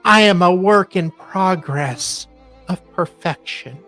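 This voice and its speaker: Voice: mid-range voice, takes it slowly, a bit bright, faint music behind, pitched above the performer's own voice. A performer voicing an aggrieved, self-righteous woman in a melodramatic, pompous dramatic reading. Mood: pompous indignation.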